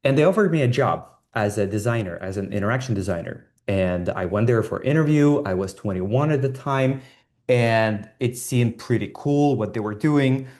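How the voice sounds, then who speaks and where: A man's voice: mid-range voice, animated, moderate pace, darkish tone. A man, a designer telling his own story informally and candidly in an online talk. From Estonia. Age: 40s. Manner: candid, self-deprecating, swears casually.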